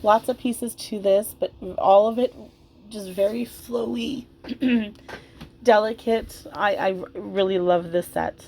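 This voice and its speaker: A woman's voice: medium pitch, fairly even intonation, slow pace, a little mellow, slight background noise. Casual, rambling woman chatting about her hobby. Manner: informal, rambling, effusive about the doll. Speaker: doll hobbyist vlogger. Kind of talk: casual, chatty commentary while dressing a doll.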